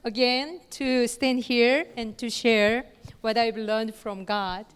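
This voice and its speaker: A woman giving a lecture in short, paused phrases with fillers, leaving room for an interpreter. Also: on the high side, steady tone, clear, resonant tone. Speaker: guest lecturer. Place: lecture hall. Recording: long hall reverb.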